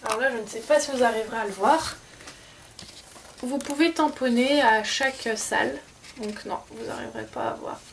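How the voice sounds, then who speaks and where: Hesitant, slightly high woman vlogger. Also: normal speed, gravelly, recorded from a distance, slight background noise. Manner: hesitant, many fillers and self-corrections. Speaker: vlogger showing souvenirs. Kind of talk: casual show-and-tell.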